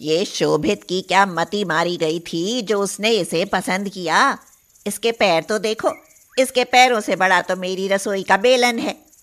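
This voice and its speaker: A woman voicing a scornful, sharp-tongued older mother-in-law in an animated story. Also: mid-range voice, a little slow, close to an everyday voice.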